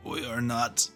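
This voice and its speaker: An amateur actor playing a loyal, rough-edged pioneer underling in a dramatic game fan dub. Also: male voice, medium pitch, sharp tone, heavily acted.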